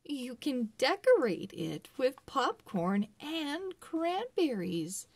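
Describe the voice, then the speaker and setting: Woman reading as a slow, kindly storybook mother. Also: high voice, big pitch swings, gravelly, no echo, voice pushed up, exaggerated voice. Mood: reassuring. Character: kind, reassuring storybook mother of two children.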